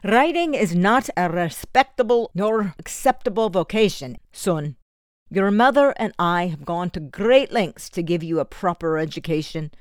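A man voicing a stern, lecturing Scottish merchant who is a middle-aged foster father, with a gruff Scottish accent. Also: high-pitched, dry recording, pitched above the performer's own voice.

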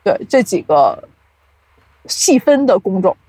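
A woman's voice: medium pitch, sing-song, takes it slowly, dark tone, breathy edge, dry recording, rough recording. Woman chatting through an explanation, dry close sound. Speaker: podcast guest, audio drama producer. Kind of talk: casual interview explanation.

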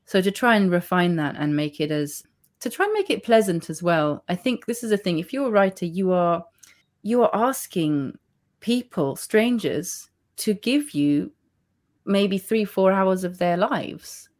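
A woman author speaking in a reflective interview, thoughtful and measured, often restarting her phrases and laughing softly. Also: medium pitch, lively intonation. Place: Spain.

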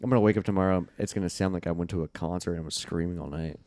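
Hoarse young man, low mellow voice, rambling swearing co-host, dry sound. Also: steady tone, rapid-fire, no echo. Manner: rambling, swears a lot, laughs often. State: scratchy, near losing his voice. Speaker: podcast co-host. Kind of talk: casual chat.

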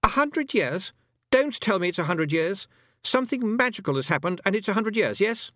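A man voicing a haughty yet anxious twelve-year-old lost boy in a storybook, emphatic and excitable. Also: sits high, moderate pace, no echo, clean studio sound, voice pushed up. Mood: anxious, agitated.

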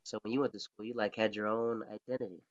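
A man's voice: lowish voice, level tone, quick pace. A man interviewing someone in casual conversation, rambling and restarting his questions. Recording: dull lo-fi recording.

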